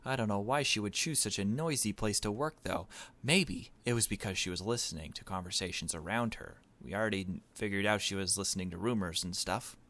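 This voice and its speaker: Guy doing a lets play, reads the game narration out loud and comments, slightly low voice. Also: fairly quick. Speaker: gaming video host.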